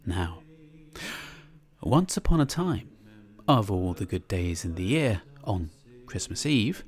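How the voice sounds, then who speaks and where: A man's voice: fairly low pitch, sing-song, slow pace. A man giving a live dramatic reading of a classic tale in a church, theatrical and savouring each descriptive word. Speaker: reader.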